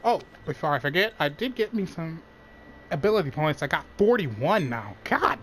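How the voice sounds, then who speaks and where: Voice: mid-range voice, big pitch swings, moderate pace, slight background noise. A gamer giving casual let's play commentary, with a nose stuffed up from a cold. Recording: voice-over with game music behind. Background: video game music and sounds.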